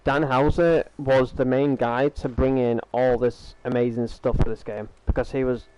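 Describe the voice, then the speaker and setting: A man's voice: medium pitch, steady tone, moderate pace, a little mellow, smooth, no room sound, rough recording. A young man casually chatting with his live-stream audience while he plays, earnest and rambling, often repeating himself over a gaming headset at home. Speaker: game streamer.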